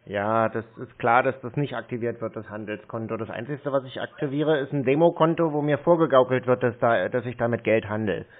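A man on a phone call who picks at every word of a scam caller, dry and needling. His voice sits at an ordinary middle pitch. It comes through a thin, tinny phone line. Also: steady tone, brisk, few pauses. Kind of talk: phone conversation.

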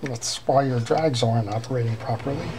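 Man, repair tutor at a workshop bench, mid-pitched, unhurried, fillers and restarts; camera mic picks up noticeable background noise. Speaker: fishing reel repairer. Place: workbench in a workshop.

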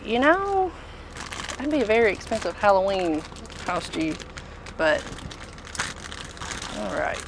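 A woman's voice: medium pitch, sing-song, slow pace, long pauses. Woman chatting over background noise. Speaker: tool truck dealer showing products. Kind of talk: casual product walk-through chat. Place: inside a packed tool truck.

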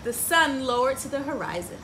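A middle-aged woman with a fairly high voice reads a children's story in a lively, swooping way. She pauses to put questions to her young audience. She is recorded outdoors on a porch, with noticeable ambient noise. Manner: expressive, engaging children with frequent questions. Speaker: story time host. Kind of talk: picture book read-aloud for children with asides and questions.

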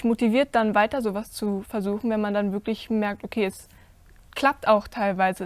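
Earnest teenage girl, flat delivery. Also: a little high, dry recording. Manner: earnest, searching, many fillers. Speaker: young cast member. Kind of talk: panel discussion answer. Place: stage with curtain, indoors.

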